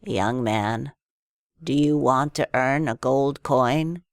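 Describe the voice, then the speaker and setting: A woman's voice: rumbling low, no room sound, studio quality, voice pushed down, heavily acted. A woman voicing a commanding, scheming old man in a children's storybook tale. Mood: stern, urgent. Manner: commanding, deliberate.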